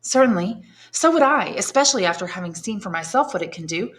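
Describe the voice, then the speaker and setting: Woman reading a high-strung noblewoman, eager and probing, lifted pitch, slow and deliberate. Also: expressive, close to an everyday voice. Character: curious, flattering aristocratic lady, mother, high-strung.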